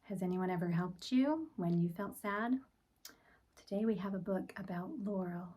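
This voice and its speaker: A woman reading a picture book aloud for children in an expressive, deliberate storytelling manner, with phrases that often end softly. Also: fairly low pitch, distant mic.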